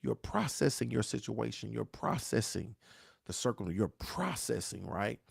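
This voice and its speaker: A pastor who talks to viewers in a preachy, repeating way and asks "right?" again and again. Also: male voice, fairly low pitch, very animated, measured pace, creaky, breathy edge, dry recording, clean studio sound. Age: 40s. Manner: dialect grammar, says "y'all". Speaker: pastor hosting a grief talk show. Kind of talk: live-stream talk answering viewer questions.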